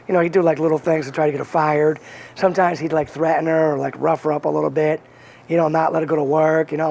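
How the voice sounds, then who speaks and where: Male interview guest with a slight Boston accent, fairly high and gravelly, earnest and rambling. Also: a little mellow, a little hiss or hum. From Connecticut. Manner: earnest storyteller, rambling with many restarts. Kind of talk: casual conversational interview.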